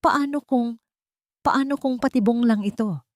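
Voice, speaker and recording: A woman's voice: on the high side, a little slow, darkish tone, no room sound, clean studio sound, pitched above the performer's own voice, lightly acted. A woman voicing a humble, tearful, protective housemaid in an audiobook drama, pleading and deferential. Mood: anxious.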